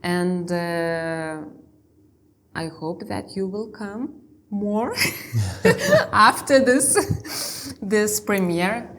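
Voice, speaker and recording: A woman with a Lithuanian accent hosting a casual interview in English, speaking haltingly as she searches for words. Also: medium pitch, animated. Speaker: interview host.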